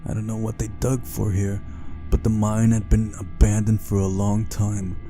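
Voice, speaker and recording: A man's voice: lowish voice, little pitch movement, normal speed, mellow, soft background music. A man reading a visual novel's story aloud as scripted first-person narration for a playthrough.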